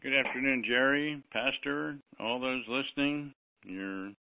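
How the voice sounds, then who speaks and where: A man's voice: mid-range voice, unhurried, gravelly, very noisy. A man co-hosting a call over the telephone, rambling casually in a hesitant, folksy way. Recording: thin, narrow phone line.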